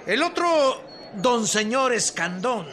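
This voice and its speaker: An actor playing an earnest, persuasive rural reform campaigner with a Mexican accent in a radio drama. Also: male voice, extremely high pitch, big pitch swings, slow, a crowd in the background, exaggerated voice.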